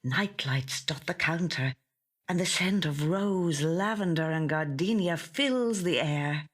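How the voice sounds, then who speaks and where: Woman narrating a short story, really slow n gentle, measured, studio recording. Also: sits low. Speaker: actor reading a short story. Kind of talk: scripted literary narration, first person. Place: audio studio.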